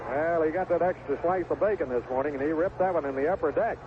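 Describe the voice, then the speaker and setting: A man calls a baseball game live from the ballpark on an old AM radio broadcast. The sound is thin and narrow, with heavy noise behind him. His voice sits a little high, and his phrasing is folksy and colorful. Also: fast. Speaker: baseball radio play-by-play announcer. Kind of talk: live play-by-play sports commentary. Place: outdoor ballpark broadcast booth. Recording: tinny, noisy 1970s AM radio broadcast.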